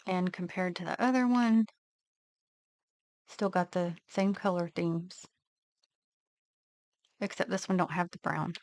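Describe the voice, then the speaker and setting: A woman vlogger casually narrating her nail art step by step, thinking aloud in a relaxed, unhurried way. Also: fairly low pitch, no room sound.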